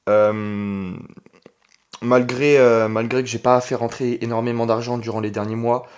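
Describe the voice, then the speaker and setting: Young adult male, medium pitch. Online creator delivering an informal, emphatic solo vlog with repeated key phrases. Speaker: online entrepreneur and video creator. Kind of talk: casual vlog monologue.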